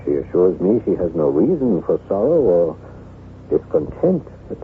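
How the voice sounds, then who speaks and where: A thin, noisy vintage radio recording carries a haunted nobleman husband. He speaks formally and gravely, with halting pauses and wide, theatrical swings of pitch, devoted and anguished. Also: big pitch swings. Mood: sorrowful. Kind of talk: old-time radio drama acting. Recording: noisy, narrow-band vintage radio broadcast.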